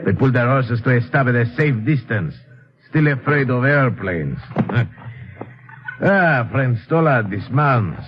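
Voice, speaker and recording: An actor playing a smug, politely menacing foreign agent in a radio adventure, speaking formally and with condescension. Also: male voice, mid-range voice, lively intonation, slow, crowd noise. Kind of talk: old-time radio adventure acting. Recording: muffled, hissy old radio recording.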